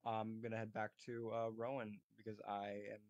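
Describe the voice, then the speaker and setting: A man's voice: on the low side, flat delivery, moderate pace, a little mellow, dry recording, dull audio. A man playing an online tabletop game, speaking casually in and out of character. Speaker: tabletop roleplay player. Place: online voice call.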